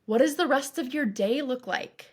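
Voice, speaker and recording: A woman coach leading a guided meditation in a calm, reassuring, instructive way, with deliberate phrasing. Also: sits high, husky. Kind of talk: guided meditation, scripted narration.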